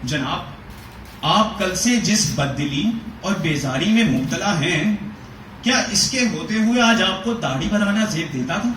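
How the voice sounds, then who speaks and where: A man giving a measured, rhetorical dramatic reading of a literary prose essay, asking pointed questions as he goes. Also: sits high, lively intonation, fairly bright tone. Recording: echoey room, voice from a distance, faint noise.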